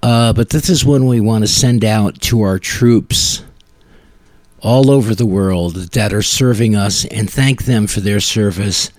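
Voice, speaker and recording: Low-voiced, wry man hosting a podcast. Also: a little mellow, no echo. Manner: wry, tongue-in-cheek, self-deprecating. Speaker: podcast host. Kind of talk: casual podcast banter, partly scripted announcements.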